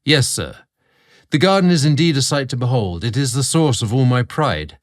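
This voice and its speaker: A man plays a young servant who tends a storybook garden. He is earnest, humble and deferential, with formal phrasing and a lively, moving pitch. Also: normal speed, close to an everyday voice. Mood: apologetic.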